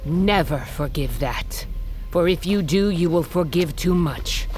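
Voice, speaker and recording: A woman's voice: lowish voice, animated, unhurried, a little mellow, soft background music. A woman playing a stern, merciless warrior queen and mother, formal and commanding, in a cinematic fantasy audio drama. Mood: harsh command.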